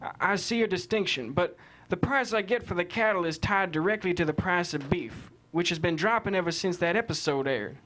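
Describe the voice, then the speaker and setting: A man's voice: sits high, fairly quick, a bit bright. A man playing an indignant, plain-spoken cattle rancher giving folksy, emphatic testimony in a courtroom drama table read. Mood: insistent.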